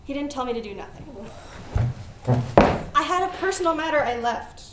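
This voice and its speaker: A woman's voice: high-pitched, animated, normal speed. A woman playing a desperate, defiant young female underling in an amateur stage play. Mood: defensive. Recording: distant, echoey, noisy stage recording.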